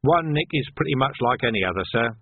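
A man playing a husky, tactful police sergeant. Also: medium pitch, lively intonation, no room sound. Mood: deferential, coaxing. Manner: measured, politely coaxing. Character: tactful, shrewd male police sergeant, outwardly deferential. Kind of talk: audiobook character voice. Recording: narrow, phone-like band.

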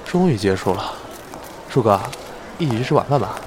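A man's voice: fairly low pitch, fairly quick, slight background noise. A man acting an effusive, devoted young celebrity idol in a Chinese audio drama, boyishly clingy and gushing. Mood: eager, clingy. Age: young adult. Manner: gushing, pleading, swinging from excited to earnest.

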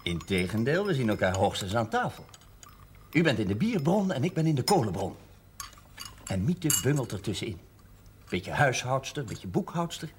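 A man's voice: mid-range voice, sing-song, normal speed, a little hiss or hum, natural voice. A man acting in a television drama as a prickly, sarcastic, defensive man with a biting manner. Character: prickly, sarcastic man, a mining company employee.

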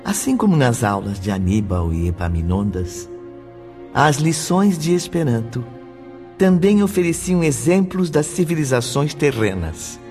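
A Brazilian man narrates a radio drama at a medium pitch. His delivery is measured and solemn, with music playing underneath. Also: sing-song, darkish tone.

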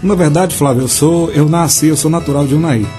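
Quick-talking, earnest Brazilian man. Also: fairly high pitch, mellow. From Formosa, Goiás, Brazil. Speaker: singer and guest. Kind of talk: casual chat. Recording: music playing behind the voice.

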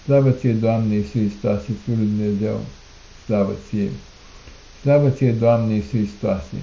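A man reciting a solemn liturgical prayer in a declamatory manner, in measured phrases with repeated refrains. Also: on the low side, steady tone, mellow. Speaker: prayer reader. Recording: muffled, echoey room recording.

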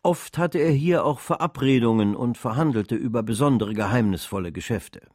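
Man reading literary narration for radio drama, matter-of-fact, mid pitch. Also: moderate pace, darkish tone, no room sound, clean studio sound.

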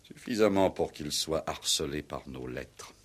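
An actor in a 1960s French radio play playing a proud, middle-aged former Resistance commander, speaking in a grave, formal, solemn way. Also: male voice, extremely low pitch, animated, measured pace, husky, full character voice. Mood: bitter accusation.

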